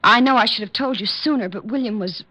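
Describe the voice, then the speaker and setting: An actress playing a nervous, demure married woman in a 1950s radio drama, speaking in a faltering, breathy way on a dull old recording. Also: fairly high pitch, fast talker, bright, forceful tone. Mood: flustered.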